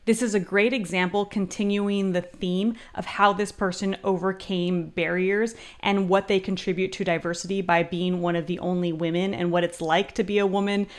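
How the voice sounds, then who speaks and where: Young female advisor, clear and upbeat, walking through an essay on a desk mic. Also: fairly low pitch, level tone, moderate pace, hardly any pauses. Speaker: grad school admissions advisor. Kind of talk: reading and critiquing an essay on screen.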